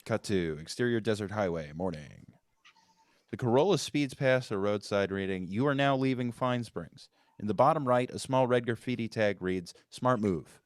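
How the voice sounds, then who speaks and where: A man's voice: on the low side, expressive, normal speed, no echo, studio quality. A man narrating stage directions at a screenplay table read, in scripted narration.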